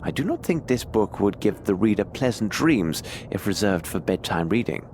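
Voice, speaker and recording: An actor playing a cerebral Victorian detective in a video game, with a formal, articulate way of musing aloud. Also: male voice, lowish voice, very animated, moderate pace, a little mellow, slight background noise. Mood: deducing. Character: Victorian male detective, cerebral, self-assured, inquisitive. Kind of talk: video game dramatic narration to himself.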